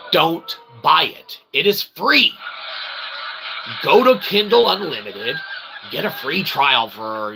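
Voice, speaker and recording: A man's voice: mid-range voice, vocal fry, background noise. A man who hosts his own channel rants emphatically to viewers in wordy mock-academic phrases mixed with slang, often checking in with a pointed "okay?". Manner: punctuates statements with "okay?" and "all right". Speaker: channel host, self-published author. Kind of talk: opinionated rant to viewers.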